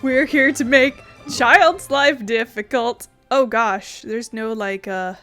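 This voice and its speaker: Woman streamer, high voice, casual game commentary, playful muttering, faint music behind. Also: takes it slowly, bright, forceful tone. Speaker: gaming streamer. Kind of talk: casual let's play commentary.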